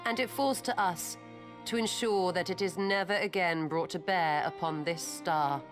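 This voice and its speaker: A composed, learned fantasy woman played by an actress with a lowish voice. She speaks formally and in measured, earnest phrases, and game music is clearly audible behind her. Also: close to an everyday voice. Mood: solemn. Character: grave, composed, scholarly woman of a fantasy order. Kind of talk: scripted fantasy game dialogue.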